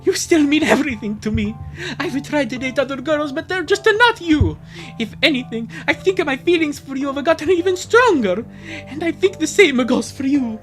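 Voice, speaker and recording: Man putting on a high, lovestruck, pleading boy. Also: expressive, moderate pace, a bit bright, faint music behind. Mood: earnest, lovestruck. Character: lovestruck teenage boy pleading dramatically with his beloved. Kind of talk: hammy fan comic dub with bursts of singing.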